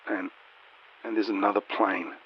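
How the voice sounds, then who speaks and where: A man playing a frightened, despairing airline pilot, shaky, halting and hushed over a muffled radio in a horror story. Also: lowish voice, fairly even intonation, breathy edge. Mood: horrified, despairing. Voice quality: hushed, shaky, near-whispering. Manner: halting, stammering. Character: terrified, stammering male airline pilot, trapped and despairing.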